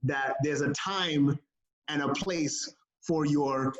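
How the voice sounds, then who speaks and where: A man co-hosting a casual sports podcast, animated and laughing often, with plenty of fillers. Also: fairly high pitch, slow, highs cut off. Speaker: podcast co-host.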